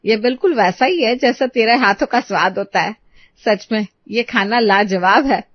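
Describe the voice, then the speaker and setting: Woman voicing a dramatic, high-pitched older mother-in-law. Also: normal speed, dry recording, dull audio, natural voice. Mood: delighted. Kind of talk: animated moral story dialogue.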